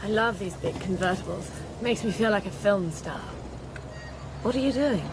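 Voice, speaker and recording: An actress plays a self-possessed woman in Cold War intelligence. She speaks with cool composure and direct, probing independence, in radio-drama style. The mid-pitched voice has clear noise behind it. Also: moderate pace, natural voice. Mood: playful. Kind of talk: radio spy drama acting.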